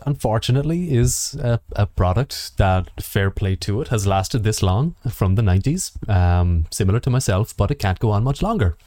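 A man's voice: fairly low pitch, animated, moderate pace, few pauses, dark tone, dry recording, clean studio sound. A man co-hosting a podcast in casual chat, with a jokey, self-deprecating manner. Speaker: podcast co-host.

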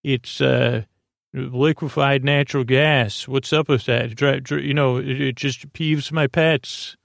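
A man with a creaky, gravelly voice hosting a sleep podcast, rambling hesitantly through a bedtime monologue, stammering and drifting into tangents. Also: mid-range voice, fairly even intonation, slow, no room sound, studio quality.

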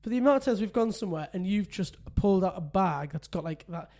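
Man, podcast co-host, mellow high voice, chatty, laughing storyteller. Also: animated, fast talker. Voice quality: says he has a deep voice. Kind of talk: casual chat.

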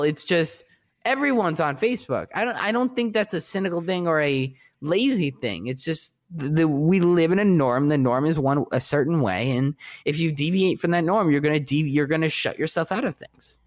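A man whose voice sits unusually high for a guy. He talks in a shy, meandering way, circling back on his sentences with lots of restarts and fillers. The tone is loose, casual conversation. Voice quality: distinctly high-pitched voice.

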